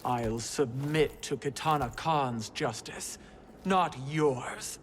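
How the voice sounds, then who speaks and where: A woman voicing a towering, loyal warrior queen in a video game, speaking in firm, formal, measured phrases. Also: a bass voice, animated, noisy background, exaggerated voice. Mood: defiant. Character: towering four-armed warrior queen, stern, loyal, commanding. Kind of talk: video game cutscene acting. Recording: loud music behind the voice.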